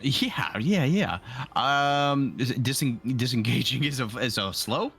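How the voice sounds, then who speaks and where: A man's voice: mid-range voice, expressive, a little slow, vocal fry, fairly bright tone, husky, faint music behind. A man chatting casually as a player in a tabletop game, somewhat hesitant and questioning.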